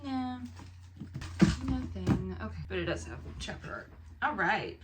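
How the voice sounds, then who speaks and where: A woman vlogger chatting casually and excitedly to the camera, animated and rambling with expressive asides. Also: medium pitch, measured pace, loud music behind the voice. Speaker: book haul vlogger and fiction author.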